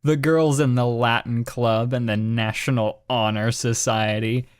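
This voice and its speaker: Sarcastic man, slow dry-sounding recording. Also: medium pitch, steady tone, smooth, no echo. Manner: sarcastic, exasperated. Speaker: podcast co-host. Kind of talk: mocking dramatic reading and casual commentary.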